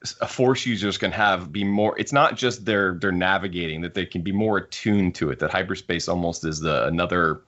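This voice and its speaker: Deep-voiced man musing on a podcast. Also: brisk, a bit bright, dull audio. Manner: musing, circles back to rephrase points. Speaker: podcast co-host. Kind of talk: casual fan discussion.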